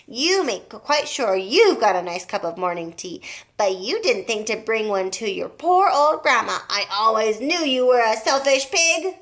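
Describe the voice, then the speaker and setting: A woman voicing a bossy, enormous old grandmother who shouts and scolds. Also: medium pitch, lively intonation, normal speed, hardly any pauses, lightly acted. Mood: angry demanding. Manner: loud, demanding, scolding.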